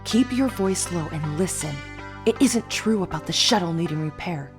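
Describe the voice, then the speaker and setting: A woman playing a wary captive guest in an audio drama, speaking in a hushed, urgent, cautious way. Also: lowish voice, lively intonation, normal speed, lightly acted. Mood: hushed warning. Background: prominent music.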